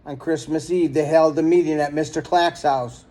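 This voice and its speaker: A man reading a children's story aloud in an expressive, dramatic storytelling manner. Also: fairly high pitch, moderate pace. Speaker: storybook reader.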